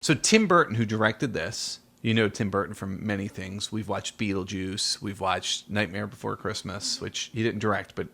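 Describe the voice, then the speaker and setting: A man's voice: fairly low pitch, normal speed, creaky, hardly any pauses, bright, forceful tone, husky. A man co-hosting a podcast in casual movie chat with a child, teasing and playful as he talks. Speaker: podcast host.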